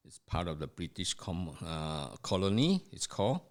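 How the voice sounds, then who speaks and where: An older man from Singapore, recalling family history in an interview with his son, speaking haltingly with many fillers and restarts. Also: low-pitched, big pitch swings. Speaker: podcast guest, host's father.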